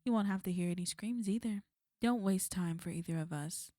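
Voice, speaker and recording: A woman playing a smug, menacing villain in an ASMR roleplay, taunting and threatening in a cool, unhurried way. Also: medium pitch, mellow, no echo, clean studio sound, close to an everyday voice. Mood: cold, taunting. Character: smug, menacing female villain, fond of an enemy.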